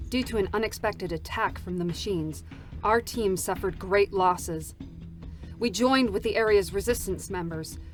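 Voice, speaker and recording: A woman voicing a bitter, slow-speaking android soldier. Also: mid-range voice, natural voice. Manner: slow, brooding, grave delivery. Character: world-weary, bitter female android soldier haunted by loss. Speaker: voice actor. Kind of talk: dramatic scripted reading of a game story.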